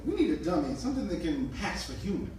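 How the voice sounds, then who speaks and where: A man's voice: high-pitched, very animated, fast talker. A man playing a dry, disapproving spaceship subordinate in a comic sci-fi audio drama. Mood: dry, exasperated. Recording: very echoey, distant, loud noise.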